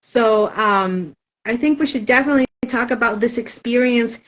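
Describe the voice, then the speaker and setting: A woman's voice: on the high side, normal speed, rough recording. Woman author, spanish first language, casual interview chat, lots of ums, thoughtful. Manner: thoughtful, frequent "um" fillers.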